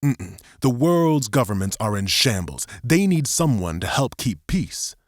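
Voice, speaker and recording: A man's voice: medium pitch, very animated, a little slow, no room sound, clean studio sound, voice pushed up. A man playing a determined, impatient resistance soldier, firm and argumentative, in an audiobook drama.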